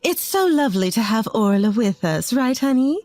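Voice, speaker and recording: A woman's voice: on the high side, big pitch swings, normal speed, darkish tone, no room sound, soft background music. A woman voicing a pushy, scornful middle-aged mother-in-law with a dismissive, needling manner in a narrated story. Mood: falsely sweet.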